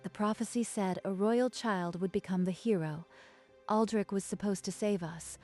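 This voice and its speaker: A woman's voice: mid-range voice, normal speed, a little mellow, faint music behind, natural voice. A woman voicing a frightened, earnest young palace maid in a manga dub. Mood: sorrowful. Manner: earnest, anxious.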